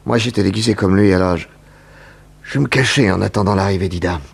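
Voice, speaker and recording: A man from a sixties radio thriller. His voice is deep and heavily rasping, with a creaky edge, and he speaks haltingly, stumbling back over his words. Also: exaggerated voice. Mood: dazed, halting. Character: man in a 1960s crime serial. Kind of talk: 1960s French radio serial acting.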